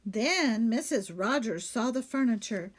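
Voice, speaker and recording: A woman teacher reading a children's story aloud, pausing to question and explain to young listeners. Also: a little high, animated.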